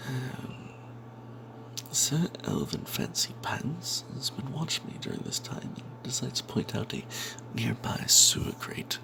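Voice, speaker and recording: A man voicing a roguish, money-minded cleric who tells a fantasy tale in breathy, confiding whispers with snide asides. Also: medium pitch, sing-song, a little slow, background noise, full character voice. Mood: sly, wary. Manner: confiding, hesitant, sardonic asides. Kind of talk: first-person fantasy tale told in whispers, ASMR.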